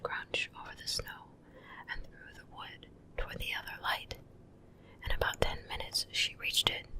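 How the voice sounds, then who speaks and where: A woman reads a bedtime story in a soft, breathy ASMR whisper, hushed and gentle, close to the microphone from ear to ear. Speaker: ASMR reader. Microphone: close ear-to-ear stereo microphone.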